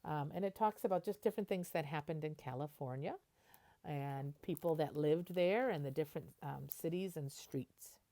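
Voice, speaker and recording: A woman reading a children's picture book aloud in an animated way, stopping to explain and translate as she goes. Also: low voice, normal speed.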